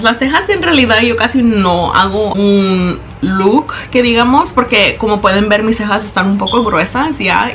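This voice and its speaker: Bright-voiced young woman doing a makeup tutorial. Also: medium pitch. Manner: chatty, explaining step by step; English words slip into her Spanish. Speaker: beauty vlogger. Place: small bedroom indoors. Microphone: camera in front at close range.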